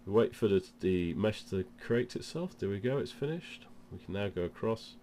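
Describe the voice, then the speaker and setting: A man's voice: fairly low pitch, expressive, moderate pace, warm tone, a little hiss or hum. A man with a cold presents an informal product demonstration, explaining things step by step. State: has a cold. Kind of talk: informal instructional demo.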